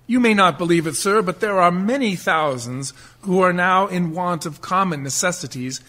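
An actor playing an earnest, genteel charity collector in a radio play, speaking with polite formality. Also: male voice, sits high, normal speed, bright, forceful tone. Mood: courteous, hopeful.

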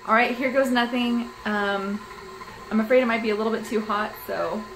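A woman in her thirties chatting casually as she shows a recipe in her small home kitchen, self-deprecating and quick to laugh, recorded on a phone camera held close. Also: a little high, fairly even intonation, noisy background. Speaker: cooking vlogger. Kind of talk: casual cooking vlog chat.

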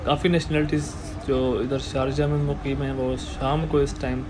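Male travel vlogger, friendly and hesitant, phone audio outdoors with steady background noise. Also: mid-range voice, normal speed. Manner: hesitant, many filler sounds, friendly. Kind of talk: walking tour narration. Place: outdoor waterfront promenade at sunset. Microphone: phone camera held while walking.

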